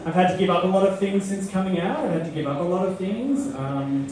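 Middle-aged woman comic, deadpan and halting, recorded far off in an echoey club. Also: lowish voice, heavy background noise. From Australia. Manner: deadpan, halting with many ums. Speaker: stand-up comedian. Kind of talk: stand-up comedy set. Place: comedy club stage before a live audience. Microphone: handheld mic, filmed from far in the crowd. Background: audience laughter.

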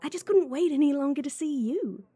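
A woman's voice: sits high, expressive, fairly quick, warm tone, no echo. A woman voice actor playing a playful, teasing, clingy schoolgirl childhood friend in a chatty ASMR roleplay.

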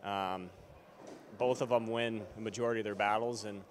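A man's voice: lowish voice, steady tone, moderate pace, slight background noise. A man in his twenties, a hockey player, answering postgame questions casually with many fillers, into a handheld mic in an arena corridor. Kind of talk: postgame press interview. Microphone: handheld interviewer mic.

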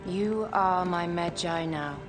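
A woman's voice: on the low side, slow. An actress playing a proud, seductive exiled queen of Egypt in a video game drama, speaking in an imperious, theatrical way. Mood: commanding, grave. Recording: music behind the voice.

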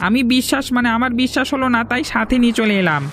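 Cartoon role: young male villager acted by a man, distrustful, persistent, quick to accuse, music bed behind. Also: very high-pitched, a bit bright. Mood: earnest. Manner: accusing, insistent. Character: suspicious, insistent young village lad. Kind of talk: cartoon voice acting.

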